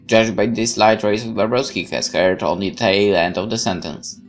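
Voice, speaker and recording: A man's voice: fairly low pitch, normal speed. A man hosting a let's play, expressively reading the game's text aloud with stumbles and asides, over music. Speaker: gaming video host reading the game text aloud. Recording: music playing behind.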